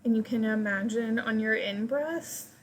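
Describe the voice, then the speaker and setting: A woman leading a guided meditation, giving gentle, invitational instructions in short phrases with pauses between them. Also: on the high side, slight background noise.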